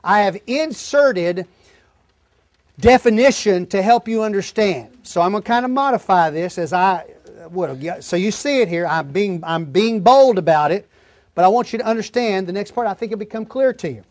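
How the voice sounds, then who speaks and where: A man's voice: sits high, animated, normal speed, darkish tone, no echo, clean studio sound. A man preaching a verse-by-verse Bible lesson in an emphatic, folksy, plain-spoken way. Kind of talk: Bible-teaching sermon, verse-by-verse reading and explanation.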